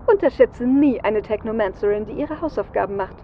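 A woman playing a cocky, sharp-tongued technomancer hacker in a fan audio drama. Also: a little high, expressive, brisk, highs cut off. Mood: defiant. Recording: faint vehicle and street noise.

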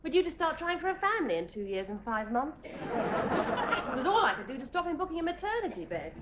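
A woman in her thirties playing a cheerful young wife, amused and gently wry about her husband. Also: high voice, sing-song, a little fast. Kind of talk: 1980s sitcom acting. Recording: dull lo-fi TV audio with loud hiss.

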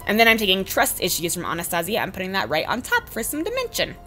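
A woman in her twenties with a slightly hoarse voice, giving a casual, chatty makeup tutorial voice-over. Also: mid-range voice, wildly swooping pitch, quick pace, bright, forceful tone. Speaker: beauty vlogger, host. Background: background music.

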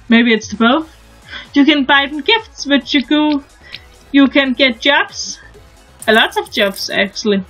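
Woman, young, Danish accent, high voice, chatty, halting, casual game commentary, faint game music. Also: sharp tone, rough recording. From Denmark. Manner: chatty, halting, often restarts sentences. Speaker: gaming channel host.

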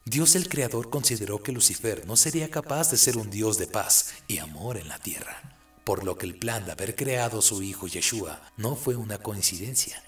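Low-voiced man narrating solemnly. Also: normal speed, fairly bright tone, faint music behind. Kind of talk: scripted dramatic radio-play narration.

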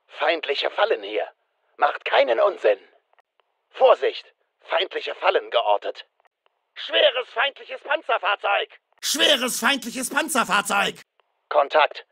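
Masked slasher killer, gravelly, swooping pitch, sardonic, taunting, terse video game callouts. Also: male voice, sharp tone, no room sound, full character voice. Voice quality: raspy. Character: masked male slasher killer, mocking, menacing, sadistic.

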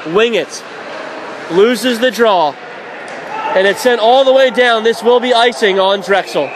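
A man calling radio play-by-play of a hockey game, climbing to shouts on shots and saves. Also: sits high, slow, background noise. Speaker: play-by-play announcer.

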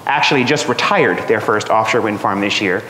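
A man in his thirties giving a relaxed academic seminar talk in a lecture room, with lots of ums and uhs. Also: lowish voice, brisk. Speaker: guest seminar speaker. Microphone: room microphone. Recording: echoey hall, faint noise.

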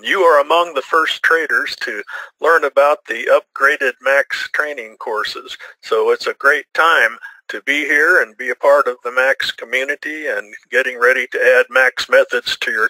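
A man's voice: medium pitch, bright, forceful tone, no echo, poor audio. Upbeat man hosting a trading webinar. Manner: upbeat, smooth salesy delivery. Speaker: webinar host. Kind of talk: scripted webinar introduction.

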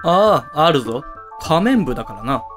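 A man acting an eccentric, theatrical high school club president in an amateur voice drama, with pompous, dramatic pauses. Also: sing-song. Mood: self-important. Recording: music under the voice.

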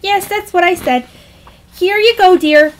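A woman's voice: very high-pitched, measured pace, pitched above the performer's own voice, low-quality recording. A woman voicing a dotty older mother in a storybook tale, sweet, placid and oblivious. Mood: breezy, oblivious. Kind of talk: acted storytelling dialogue.

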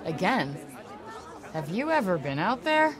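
An actress playing a brash, sardonic young adventurer in a video game, with a casual, slangy, sarcastic way of talking. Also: female voice, medium pitch, sing-song, a little slow, a crowd in the background. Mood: wry. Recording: music behind the voice.

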